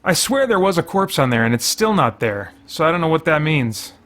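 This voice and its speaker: A man's voice: fairly high pitch, sing-song, a little fast, a bit bright. A man giving casual gameplay commentary as a show host, conversational and musing as he thinks aloud. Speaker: gamer, host of a faith and geek culture show.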